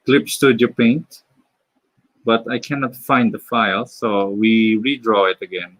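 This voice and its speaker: A man from the Philippines with a Filipino accent, chatting casually on a live stream while drawing, halting, with many fillers and restarts. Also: on the low side, steady tone, a bit bright, no room sound. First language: Filipino (Tagalog). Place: home.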